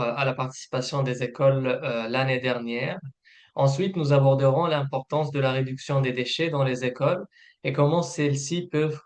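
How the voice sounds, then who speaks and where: A man presenting a scripted informational webinar in a formal, hesitant manner with frequent filled pauses. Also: mid-range voice. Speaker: program manager presenting a webinar.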